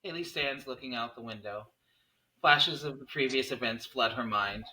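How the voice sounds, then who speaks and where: A male narrator reads screenplay scene headings and action. His voice is mid-pitched with a bright, forward edge, delivered as scripted narration. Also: moderate pace, low-quality recording. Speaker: narrator reading stage directions.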